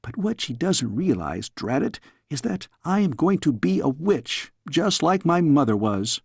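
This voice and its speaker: A man's voice: on the high side, very animated, a little slow, creaky, slightly rough, no echo, studio quality, voice pushed up, exaggerated voice. A man voicing a headstrong, inquisitive young princess in a storybook, pert and eager. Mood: defiant. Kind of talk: storybook character voice.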